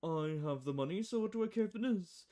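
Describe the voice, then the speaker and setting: A woman's voice: fairly low pitch, expressive, quick pace, dark tone, pitched above the performer's own voice, natural voice. A woman voicing an impatient, grumbling male private detective in a visual novel. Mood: matter-of-fact. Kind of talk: visual novel character voice, film noir dialogue.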